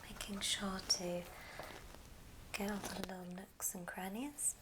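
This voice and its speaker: Slow whispering ASMR woman. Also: medium pitch, steady tone. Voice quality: soft, breathy whisper. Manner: unhurried, gentle step-by-step instruction. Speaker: ASMR host demonstrating a craft. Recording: clear background hiss and clicks.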